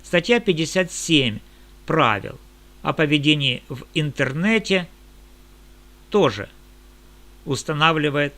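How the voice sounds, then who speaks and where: A man who works as a lawyer, giving a measured, indignant monologue in formal legal language. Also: on the high side, animated, pushed and bright.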